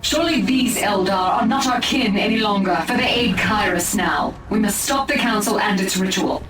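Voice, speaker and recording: A woman's voice: mid-range voice, normal speed, natural voice. A woman voicing a solemn, commanding elf-like alien war leader in a video game, with formal, grave speech. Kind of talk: video game cutscene acting. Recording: echoey, distant, under loud music.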